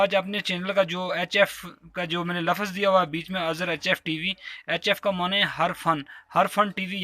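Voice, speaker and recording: A Pakistani man with a fairly high, bright and forceful voice hosts an informal how-to video. He explains each step politely and tends to repeat himself, recording on a phone held in his hand. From Azad Kashmir, Pakistan. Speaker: repair tutorial host. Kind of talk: informal hands-on tutorial narration. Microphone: handheld phone while working.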